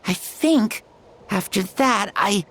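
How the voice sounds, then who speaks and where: A woman's voice: medium pitch, animated, takes it slowly, a bit bright, slightly rough, heavily acted. A woman voicing a frail, earnest young child in a video game, hesitant and full of wonder. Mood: dazed, unsure. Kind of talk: video game story voice acting.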